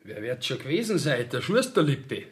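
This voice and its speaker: An actor playing a rough, indignant young peasant in a Bavarian dialect radio play, telling his tale in an earthy, agitated way. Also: medium pitch, sing-song, normal speed, close to an everyday voice. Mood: aggrieved.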